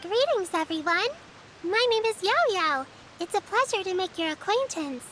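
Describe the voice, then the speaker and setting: A woman voicing a polite, caring little girl in an anime game, sweet and earnest, gently instructive like a small mother. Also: extremely high pitch, animated, normal speed, a little hiss or hum. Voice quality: childlike, sweet.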